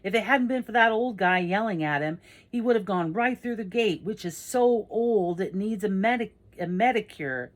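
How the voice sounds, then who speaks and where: Casting: female narrator as excitable, foul-mouthed teen boy, audiobook dialogue, emphatic and slangy, raised voice. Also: mid-range voice, moderate pace, hardly any pauses, voice pushed up, natural voice. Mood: excited, alarmed. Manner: emphatic, slangy, self-correcting. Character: excitable, foul-mouthed teenage boy telling a tall story.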